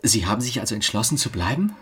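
An actor playing an ardent young law clerk courting a married woman, earnest and self-dramatising, with a husky, breathy voice, in a German radio drama. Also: on the low side, big pitch swings, fast talker, fairly bright tone, exaggerated voice. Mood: hesitant, confiding.

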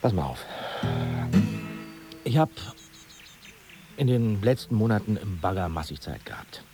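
Lively, scheming young man. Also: lowish voice, unhurried, music in the background. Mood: eager, persuasive. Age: young adult. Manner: casual, persuasive, slangy. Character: enthusiastic scheming young student friend turned would-be manager. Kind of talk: naturalistic German radio drama dialogue.

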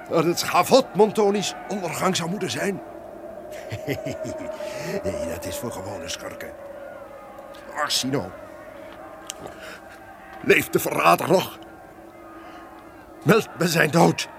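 An actor playing a defiant, villainous tyrant in an old-fashioned radio drama, theatrical and cruelly gloating. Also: male voice, a little high, lively intonation, slow, gravelly, pauses often, background noise, heavily acted. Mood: dying, triumphant. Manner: theatrical, sneering, cruel laughter.

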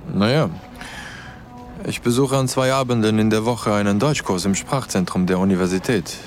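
A man's voice: lowish voice, moderate pace, faint music behind, lightly acted. A man playing a curious, candid acquaintance in a radio drama, chatting in a casual, slightly hesitant way. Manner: hesitant, conversational.

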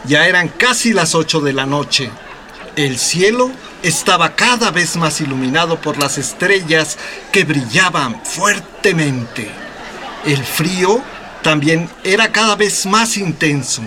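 A man's voice: a little high, background noise. A man with a Mexican accent narrating a scripted radio drama.

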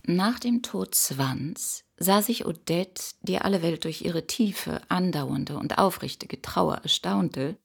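An actress playing a composed, worldly storyteller in a literary radio drama, deliberate and poised, with a gravelly, husky voice. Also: female voice, lowish voice, expressive, a little hiss or hum, heavily acted. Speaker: narrator actor in a radio play.

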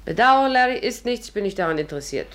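A woman's voice: medium pitch, sing-song, fast talker, fairly bright tone, slight background noise. Witty, sarcastic young woman. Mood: reluctant. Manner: dry, sarcastic. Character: witty, reluctant young actress roped into schemes. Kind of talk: 1950s German radio drama acting.

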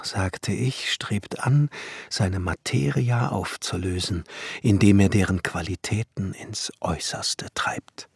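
A brooding old-time alchemist, radio play, low creaky voice, solemn, proud, halting. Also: male voice, no echo, clean studio sound, heavily acted. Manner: solemn, halting, often sinking to a whisper. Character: Johann Kunkel, proud brooding 17th-century alchemist and glassmaker. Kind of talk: poetic radio play monologue.

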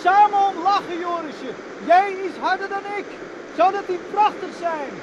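A man's voice: extremely high pitch, normal speed, lo-fi sound. An actor playing an exasperated, scornful older brother in a radio play, mocking and irritated as he speaks. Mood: exasperated pleading. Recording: noisy old radio play recording.

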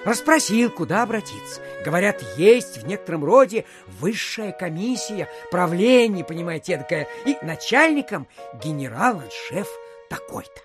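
A garrulous small-town postmaster in an audio drama. He tells his tale pompously, padding it with filler phrases and dramatic pauses. His pitch swings widely, and music plays softly behind him. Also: very animated. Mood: confiding narration. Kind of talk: theatrical storytelling in an audio play.

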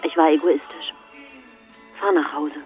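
An actress playing a worried, apologetic wife, subdued and pleading, heard through a telephone filter in a radio play. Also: female voice, low-pitched, steady tone, a little slow, soft background music. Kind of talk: naturalistic radio play acting. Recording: tinny phone line.

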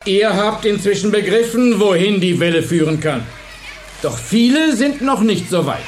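An intense man who teaches high school, domineering and driven. His voice sits high for a man. The delivery is dramatic radio-play acting, defiant and full of conviction. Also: expressive, moderate pace, slight background noise. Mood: resolved.

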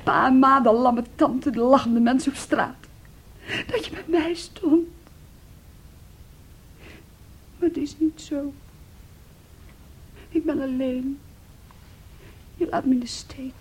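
An actress in a Dutch radio play playing an intense, wounded, possessive young woman, brooding and reproachful, with a breathy delivery. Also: high-pitched, measured pace, long pauses, exaggerated voice. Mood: tearful, hurt. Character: Andrea, intense, wounded, possessive young sister clinging to her brother.

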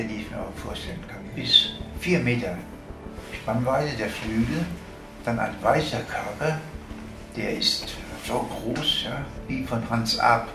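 A man's voice: medium pitch, poor audio. An older man, a former seaman, recalls the sea in spontaneous, discursive and marvelling speech full of vivid description. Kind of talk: spontaneous spoken reminiscence in a radio feature.

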